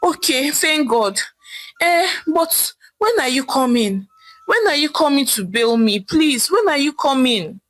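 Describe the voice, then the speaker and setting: A man's voice: very high-pitched, expressive, a little slow, pushed and bright, pitched above the performer's own voice, full character voice. A woman voicing a pompous jailed man with a Nigerian accent, whiny and pleading with his wife.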